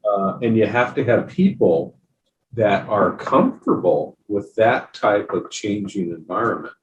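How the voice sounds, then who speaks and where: A man moderating a panel, asking halting, measured questions. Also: lowish voice, expressive, reverberant, far from the mic, lo-fi sound, slight background noise.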